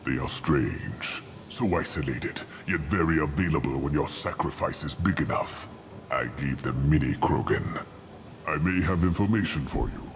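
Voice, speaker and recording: A man voicing an arrogant, ruthless old alien warlord scientist in a video game, speaking with grandiose, deliberate contempt. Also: extremely low pitch, a little hiss or hum. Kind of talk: video game dramatic acting.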